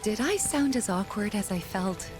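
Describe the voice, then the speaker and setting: A woman's voice: mid-range voice, animated, normal speed, over music, close to an everyday voice. A woman playing a friendly, self-conscious young woman in a video game cutscene. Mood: bashful. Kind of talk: casual video game dialogue.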